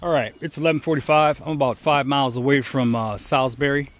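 A man's voice: medium pitch, steady tone, fast, fairly bright tone. A middle-aged man on a long-distance hike, talking casually and upbeat to a handheld camera outdoors, thinking aloud with fillers. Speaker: thru-hiker vlogger. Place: outdoors on a forest trail and in a small town. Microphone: handheld camera at arm's length. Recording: outdoor camera audio with background noise.

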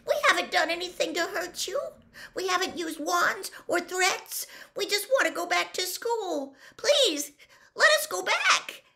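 A frightened teenage girl in an audiobook, as a woman reader performs her. Her voice is high and squeaky, leaping about as she pleads breathlessly and earnestly. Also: expressive, unhurried, pushed and bright, heavily acted. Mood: tearful pleading. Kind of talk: dramatic audiobook character voice.

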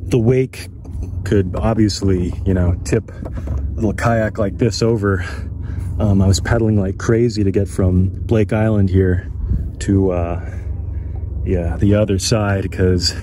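A man in his thirties with a low voice films himself outdoors. He talks casually and a bit haltingly, unscripted, into a camera close at hand. Faint outdoor noise sits behind his words. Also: fairly even intonation. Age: 30s. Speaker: solo outdoor vlogger. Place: outdoors on open water and at a campsite. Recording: background noise from wind and outdoors.

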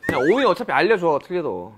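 A young man in his twenties leading loud, quick group banter on a variety show, recorded indoors on a lapel mic. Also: a little high, huge pitch swings, background noise. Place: comic book cafe set, indoors.

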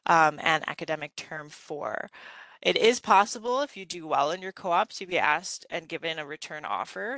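Woman presenting slides, gravelly and bright. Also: low voice, no echo. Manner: conversational, many um fillers. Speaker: graduate program presenter.

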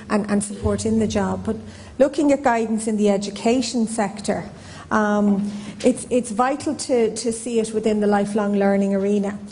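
Mellow-voiced woman on a panel. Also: mid-range voice, slight background noise, low-quality recording. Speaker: panelist, guidance professional. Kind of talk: explaining on a conference panel. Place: indoor conference hall stage.

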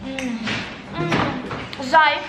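A young woman chatting casually to a handheld camera in a chilly house, bubbly and talkative. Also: reverberant. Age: young adult. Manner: chatty, bubbly, quick shifts into excited high tones. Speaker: vlogger. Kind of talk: casual vlog chat to camera. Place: chilly house living room, indoors. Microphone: handheld camera at arm's length. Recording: noisy home camera audio.

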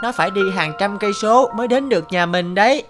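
A woman acts a cheerful little boy in a cartoon. The delivery is eager and childlike, with guitar music clearly audible behind the voice. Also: mid-range voice, expressive, fast talker, lightly acted. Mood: eager, proud. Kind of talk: cartoon voice acting.

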